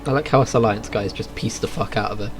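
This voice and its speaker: A man's voice: lowish voice, fast talker, over loud music. A man doing casual, understated live game commentary and reading aloud, speaking into a streaming microphone. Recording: faint background noise. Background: faint game ambience.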